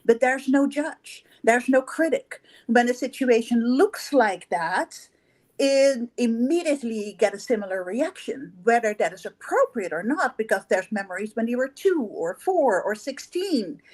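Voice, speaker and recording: A middle-aged woman with a Dutch accent talks in a fairly high voice. She explains her coaching work earnestly, as a guest in a conversation. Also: lively intonation, measured pace, darkish tone. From the Netherlands. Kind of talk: casual chat.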